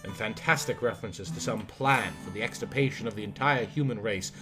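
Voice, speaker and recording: Male audiobook narrator, lowish pitch, scripted literary horror reading, clear guitar music bed. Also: brisk.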